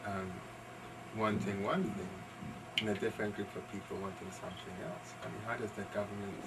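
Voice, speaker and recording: A man talking reflectively and informally to an audience at a live event, pausing as he forms his thoughts. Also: fairly low pitch, flat delivery. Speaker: pianist and discussion leader. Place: live event in a library room. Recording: distant, very noisy room recording.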